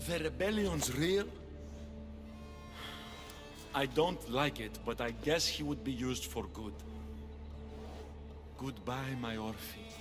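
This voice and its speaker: An actor playing a gentle, bitter blinded man in a video game, plaintive and emotional. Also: medium pitch, slow pace, long pauses, over music, close to an everyday voice. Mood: resigned, sad. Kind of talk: video game cutscene acting. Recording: long reverberant echo.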